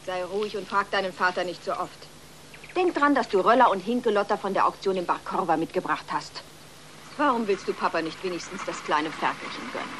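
A woman playing a strict, proper farm wife and mother in a German-dubbed children's film, speaking firmly and briskly. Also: mid-range voice, a bit bright, a little hiss or hum, close to an everyday voice. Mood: chiding.

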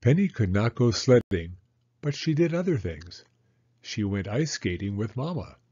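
A man narrating a children's read-aloud story in an expressive, deliberate manner. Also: on the low side, highs cut off.

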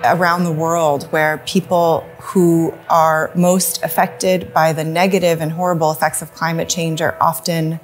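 A woman in her thirties speaking passionately and emphatically to camera in a plain studio. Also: lowish voice. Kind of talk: to-camera interview.

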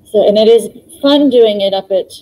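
Woman in her fifties, co-host, casual chat, video call, mellow dark tone, laughs often. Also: mid-range voice, moderate pace, low-quality recording. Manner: chatty, laughs often, frequent ums. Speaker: co-host, extension educator. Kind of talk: casual live-stream chat.

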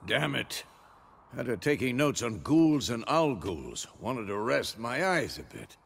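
An actor playing a gruff, stern old monster-hunter mentor in a video game, grumbling and firm. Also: male voice, mid-range voice, big pitch swings, measured pace, exaggerated voice. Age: older. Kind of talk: video game cutscene acting. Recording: clear background noise.

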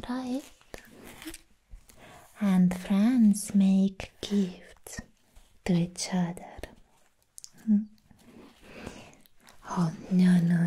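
A woman whispering gently and playfully in an ASMR roleplay as a sweet, friendly vampire girl, breathy and soothing with long pauses. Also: medium pitch. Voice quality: breathy, mostly unvoiced whisper.

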